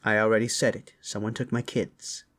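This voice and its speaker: A man's voice: fairly low pitch, flat delivery, moderate pace, fairly bright tone. A man playing a hot-tempered, protective beast father in a fantasy audio drama, speaking in a blunt, threatening way. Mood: demanding.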